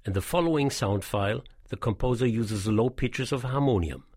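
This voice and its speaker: A man reading a scripted documentary narration in a measured, explanatory way, as the guide of an audio tour. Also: medium pitch, a little mellow, husky, no echo, clean studio sound.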